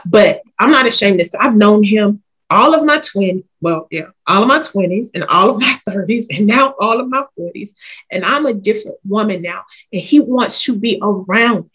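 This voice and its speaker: Woman, 40s, ministry leader guest, candid and lively, folksy chat. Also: medium pitch, moderate pace, husky, low-quality recording. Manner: lively, talks straight from the heart, calls listener honey. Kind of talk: casual interview chat.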